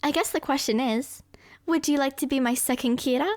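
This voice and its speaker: A woman's voice: high-pitched, a little fast, dry recording, voice pushed up, natural voice. A woman playing a smug, brilliant, manipulative young serial killer girlfriend in an anime roleplay, boastful and coaxing. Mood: sweetly manipulative. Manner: boastful, coaxing, self-satisfied.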